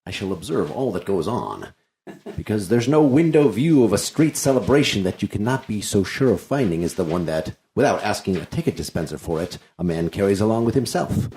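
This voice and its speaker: A man's voice: fairly low pitch, sing-song, fairly quick, dark tone. A man reading the part of a wordy, complaining comic servant in a classical play, with wry, theatrical flourishes. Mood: wry, boastful. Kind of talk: unrehearsed dramatic play reading of classical comedy. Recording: echoey room.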